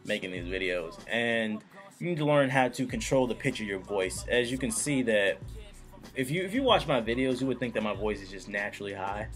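A man's voice: fairly low pitch, expressive, a little fast, faint music behind. A young man hosting a casual computer tutorial, joking and talking straight to viewers. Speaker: YouTube tutorial host. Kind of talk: casual screen-recorded tutorial.

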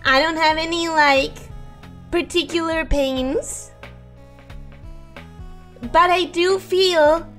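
A young woman streaming casually, her voice hoarse and ragged from sickness, giggling often as she reads chat and greets viewers. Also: very high-pitched, fairly even intonation, slow, long pauses, a bit bright, faint music behind.

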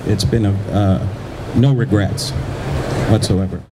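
A middle-aged man with a fairly low voice talks as a business owner on a panel. His delivery is conversational and halting. He holds a microphone on a busy exhibition floor with clear background noise. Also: fairly even intonation. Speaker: panelist, print shop owner. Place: large indoor exhibition hall. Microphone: handheld microphone. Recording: noisy trade show floor, ventilation hum.

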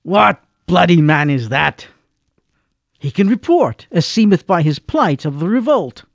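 A man's voice: high-pitched, animated, measured pace, creaky, no echo, studio quality, heavily acted. An actor playing a noble, commanding king in a Shakespearean radio drama, declaiming regally. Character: noble, commanding king of a senator-turned-ruler.

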